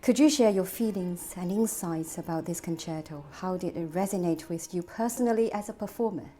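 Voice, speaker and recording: A woman interviewer with a mellow, warm tone asks prepared questions. She speaks at an even, measured pace, and her manner is formal and composed. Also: lowish voice.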